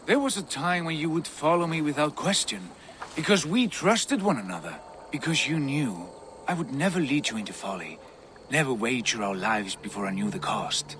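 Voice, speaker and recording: An actor playing a proud, ambitious Viking jarl in a game cutscene, speaking with imperious, persuasive intensity. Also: male voice, a little high, animated, normal speed, fairly bright tone, slight background noise. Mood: wounded, pleading. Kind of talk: video game cutscene dialogue.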